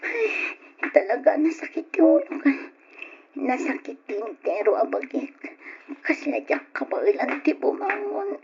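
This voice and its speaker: A woman's voice: sits high, very animated, a little slow, a little mellow, a little hiss or hum, exaggerated voice. A woman playing a sulky, resentful young daughter in an old radio drama, complaining petulantly. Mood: irritable, ailing. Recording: dull old radio recording.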